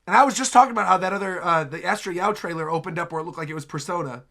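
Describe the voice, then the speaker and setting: A man giving casual reaction commentary as a video host, excitable and repeating words for emphasis. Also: sits high, rapid-fire, crowd noise.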